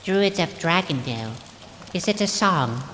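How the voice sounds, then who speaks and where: A woman's voice: on the low side, lively intonation, measured pace, a bit bright. Woman as a prim fantasy heroine in long reverb. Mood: curious. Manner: formal, old-fashioned phrasing. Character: prim, earnest female companion from a fantasy realm. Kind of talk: scripted fantasy audio drama acting. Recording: long reverb, faint rain noise.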